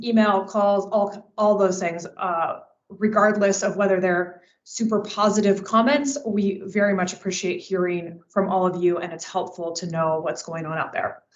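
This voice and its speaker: A woman speaking as a government relations manager, giving an explanatory update on a work conference call in informal professional language. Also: medium pitch. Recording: echoey, dull conference call audio.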